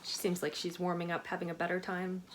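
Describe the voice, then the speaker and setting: A woman reading a picture book aloud to children in an expressive way, with chatty asides to her young listeners. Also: fairly low pitch, fast talker, noisy background. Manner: animated, expressive storytelling with questions to listeners.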